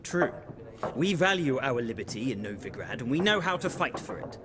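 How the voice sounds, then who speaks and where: An actor playing a pompous, eager, bookish scholar in a video game, speaking in a florid and theatrical manner. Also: male voice, medium pitch, very animated, moderate pace, noisy background, lightly acted. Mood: superior, smug. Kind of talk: video game character dialogue. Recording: crowd chatter behind the voice.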